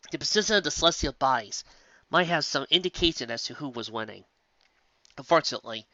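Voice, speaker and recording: A narrator reading a scripted fantasy story aloud in an expressive storytelling style. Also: mid-range voice, dry recording, muffled recording. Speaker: fiction narrator.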